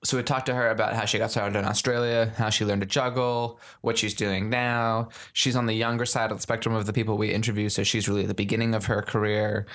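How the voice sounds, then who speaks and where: A man's voice: fairly low pitch, little pitch movement, fast talker, few pauses, a bit bright. A man co-hosting a podcast, introducing the guest and asking questions in an upbeat, enthusiastic way. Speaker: podcast co-host.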